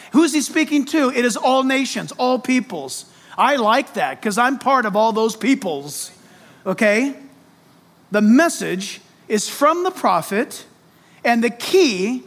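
Very high-voiced man preaching. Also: fairly bright tone. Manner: emphatic, conversational, rhetorical questions and direct address. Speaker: pastor preaching to congregation. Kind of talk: expository sermon.

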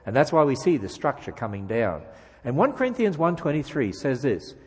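A man's voice: medium pitch, sing-song, brisk, breathy edge. Australian man preaching in a reverberant church. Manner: jokey, emphatic preaching with rhetorical questions. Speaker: guest speaker. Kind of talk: sermon. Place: church sanctuary.

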